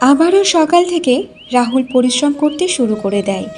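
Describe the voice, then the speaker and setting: A woman with a notably high voice narrates a scripted cartoon story. Music runs underneath her storytelling. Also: animated, a little fast, a little mellow.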